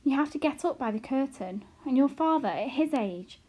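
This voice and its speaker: A woman's voice: high voice, animated, moderate pace, mellow, voice pushed up. A woman reading aloud and voicing an anxious, fretful tiny mother who exclaims and frets, in a storybook reading. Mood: fretting. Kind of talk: audiobook character reading.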